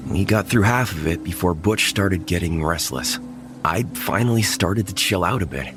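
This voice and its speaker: Deep-voiced man narrating horror. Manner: tense first-person storytelling. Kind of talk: horror audio drama narration. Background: music.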